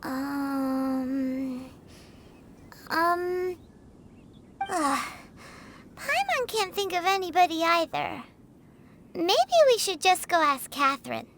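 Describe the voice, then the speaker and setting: A woman voicing an excitable, naive little fairy companion girl in an anime-style video game. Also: very high-pitched, very slow, long pauses, background noise, heavily acted. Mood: puzzled. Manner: refers to herself in third person, exclamatory. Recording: music behind the voice.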